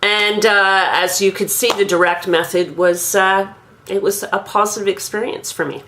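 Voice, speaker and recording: A woman in her fifties from Quebec, thoughtfully recounting her own school memories in a measured, pausing monologue. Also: medium pitch, fairly even intonation, a bit bright, a little hiss or hum. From Quebec, Canada. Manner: measured, thoughtful, with frequent pauses. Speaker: grandmother recounting her language learning. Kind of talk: reflective personal monologue.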